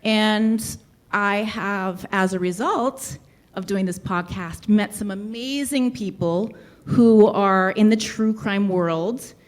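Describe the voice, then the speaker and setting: A woman's voice: mid-range voice, slow pace. A woman with a light Boston accent hosting a live podcast show in a brewery, talking in a chatty, easygoing way. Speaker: podcast host.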